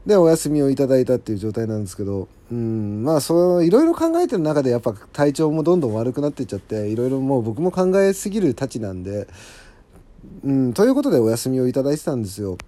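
A man talks alone as the host of a casual show, in a soft-edged, mellow timbre. His intonation rises and falls in a very lively way. He is polite and conversational, often pausing to agree with himself as he updates his listeners. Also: mid-range voice. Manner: polite, apologetic, conversational with frequent agreeing asides. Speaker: solo audio show host.

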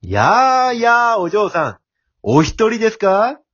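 A man's voice: high-pitched, big pitch swings, slow pace, fairly bright tone, muffled recording, pitched above the performer's own voice, exaggerated voice. A man playing a cocky, scheming wolf who flusters easily, in an amateur radio drama with theatrical delivery. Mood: coaxing, flustered. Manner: theatrical, menacing chuckles and smooth-talking.

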